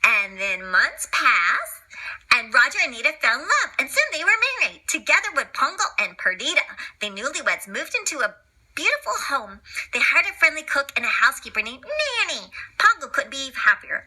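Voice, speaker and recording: A woman's voice: on the high side, big pitch swings, fairly quick, bright, forceful tone, husky, low-quality recording. A woman reading a children's read-along story expressively, with informal asides and exclamations.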